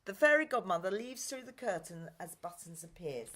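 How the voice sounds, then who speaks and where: A woman's voice: mid-range voice, big pitch swings, normal speed, pushed and bright. A woman reading scripted narration and stage directions for a pantomime radio play.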